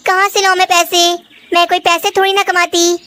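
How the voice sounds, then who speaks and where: A gentle young wife sparrow from a children's cartoon, acted by a woman, speaks in a very high, bright voice. She is polite, anxious and pleading. Birdsong and noise sit behind her. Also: flat delivery, a little fast. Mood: hurt, pleading. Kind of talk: Hindi children's cartoon dialogue.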